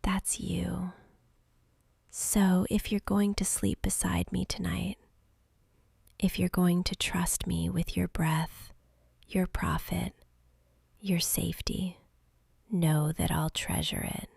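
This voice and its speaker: A woman's voice: on the low side, level tone, creaky, darkish tone, husky, no echo, exaggerated voice. A woman voicing a lonely, centuries-old vampire girl in an intimate ASMR roleplay, whispering breathily and close to the mic with tender, hesitant longing. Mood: tender, wistful. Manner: slow, intimate, hesitant pleading. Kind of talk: whispered soft-spoken ASMR romantic roleplay.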